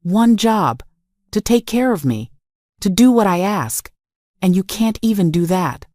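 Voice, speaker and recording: A woman's voice: medium pitch, lively intonation, a little slow, a little mellow, no echo, studio quality, pitched above the performer's own voice. A woman voicing a menacing, controlling husband in a dramatic story, accusing and commanding. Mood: contemptuous anger. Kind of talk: dramatic story narration dialogue.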